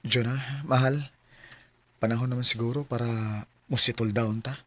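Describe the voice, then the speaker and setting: An actor playing an eager, devoted young man in a Filipino radio drama, earnest and a little hesitant, with a slightly husky voice. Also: mid-range voice, level tone, moderate pace, sharp tone, soft background music, natural voice. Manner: earnest, hesitant, then direct. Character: eager young male suitor, devoted son to his mother.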